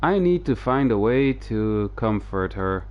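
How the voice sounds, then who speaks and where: Slow-talking man rambling on a game stream. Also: fairly low pitch, big pitch swings, dull audio. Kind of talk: casual livestream commentary and reading game dialogue aloud. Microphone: close streaming microphone. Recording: game music behind the voice.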